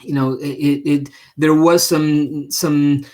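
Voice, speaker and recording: A man hosting an unscripted livestream talk, speaking haltingly with repeated words and frequent check-in questions. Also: on the high side, steady tone, a little mellow. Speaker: livestream host and presenter.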